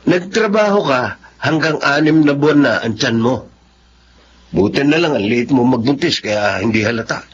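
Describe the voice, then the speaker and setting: Man acting animated, coaxing Filipino coworker Rod. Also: medium pitch, moderate pace, muffled recording, slight background noise, natural voice. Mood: amazed, concerned. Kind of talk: Filipino dramatic radio-style acting.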